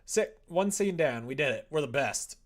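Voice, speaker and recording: A man's voice: a little high, lively intonation, moderate pace. A young man streaming, talking casually and playfully in a jokey way as he comments and reads aloud. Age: young adult. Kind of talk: casual livestream chat and reading aloud.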